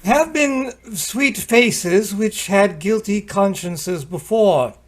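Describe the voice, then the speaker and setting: A man's voice: high-pitched, lively intonation, slow. A man playing a stern, caustic judge with a ponderous, formal manner in an old-time radio drama. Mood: caustic, grave. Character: caustic, stern male judge charging a jury. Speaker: actor in an amateur radio play.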